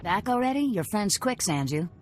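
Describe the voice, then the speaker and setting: An actress playing a shrewd, tough rebel cell leader in a video game, sardonic and businesslike. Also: female voice, medium pitch, lively intonation, moderate pace, a little hiss or hum, close to an everyday voice. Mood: sardonic, impatient.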